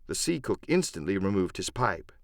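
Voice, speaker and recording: A man's voice: deep voice, sing-song, normal speed, vocal fry, no room sound. Man narrating an adventure audiobook, dry sound. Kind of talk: dramatic scripted first-person narration.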